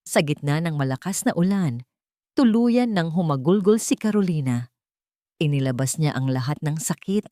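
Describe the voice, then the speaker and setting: A woman's voice: low voice, mellow, no room sound, studio quality. A woman narrating a dramatic love story in an emotive, melodramatic way.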